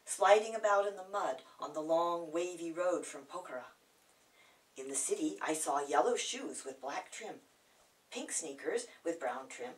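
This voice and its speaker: A woman's voice: fairly low pitch, far from the mic, poor audio. A woman reciting her own poem in a dramatic, playful way, savouring the words. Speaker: poet reading own work. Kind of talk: theatrical poetry recital.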